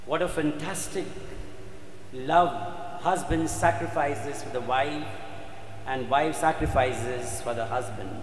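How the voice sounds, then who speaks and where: Slow, echoing priest preaching solemnly. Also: male voice, medium pitch. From India. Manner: solemn, emphatic, dramatic delivery with repeated phrases and pauses. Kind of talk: sermon. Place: inside a church. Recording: echoey church hall, faint background noise.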